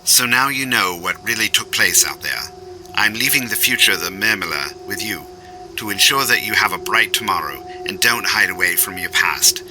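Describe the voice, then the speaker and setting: Man acting earnest, low-pitched time traveller, music behind. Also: natural voice, poor audio. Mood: solemn, tender. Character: brilliant, urgent yet compassionate male alien time traveller. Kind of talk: full-cast audio drama acting.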